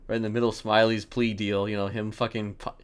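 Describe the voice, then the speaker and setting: A man's voice: lowish voice, level tone, quick pace, clear, resonant tone. A man who streams games, chatting casually to his viewers, rambling as he thinks aloud and swearing. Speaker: live game streamer.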